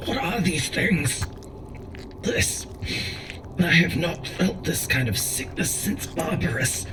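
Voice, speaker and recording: A performer in a dramatic ASMR roleplay playing a grave, defiant warlord battling sickness, with halting, theatrical delivery. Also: mid-range voice, expressive, recorded from a distance, noisy background. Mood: anguished defiance.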